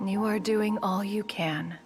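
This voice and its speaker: Woman playing composed female game elder, mid-range pitch, stately, eloquent, formal, protective, slow delivery, heavy music. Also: fairly even intonation, natural voice. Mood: reassuring, solemn. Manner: stately, formal, unhurried, refers to self as "one". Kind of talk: video game voice acting. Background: loud music.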